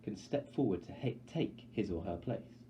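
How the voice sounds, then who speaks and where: A man's voice: on the low side, animated, normal speed, dark tone. A man reading a story aloud as scripted, dramatic narration. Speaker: audiobook reader, teacher. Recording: dull recording with light background noise.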